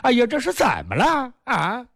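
A man's voice: very high voice, sing-song, normal speed, gravelly, fairly bright tone, no room sound, clean studio sound, voice pushed up, full character voice. A male storyteller voicing a distraught, half-crazed young wife who wails for her missing husband. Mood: distraught wailing. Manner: wailing, shrieking complaints. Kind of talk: traditional storytelling.

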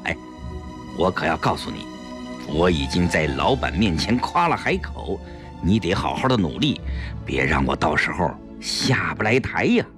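A male storyteller voicing a boastful middle-aged man who talks in a lowered, pressing tone. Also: sing-song, moderate pace, over music.

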